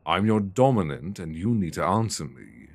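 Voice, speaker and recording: A man's voice: low-pitched, sing-song, normal speed, dry recording, full character voice. A man with a British accent playing a stern, weary but devoted dominant boyfriend in an intimate, emotionally intense audio roleplay, close on a binaural mic. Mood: stern, controlled. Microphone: close binaural microphone.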